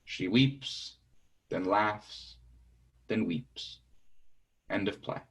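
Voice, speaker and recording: A man's voice: fairly low pitch, extremely slow. A man reading out stage directions as scripted narration for a play reading.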